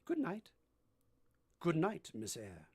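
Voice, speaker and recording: A woman playing a brusque, moody gentleman master in a vintage-style radio drama, commanding and teasing as they question. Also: deep voice, huge pitch swings, slow pace, slight background noise, exaggerated voice. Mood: brusque, insistent. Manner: imperious, teasing, theatrical questioning.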